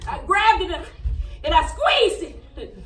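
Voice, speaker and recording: A woman performing a dramatic monologue as a frightened, defiant young country girl, telling her story haltingly and with great emotion. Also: a bit bright, full character voice. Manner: halting, repeating words, highly emotional storytelling. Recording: echoey room, distant mic, faint noise.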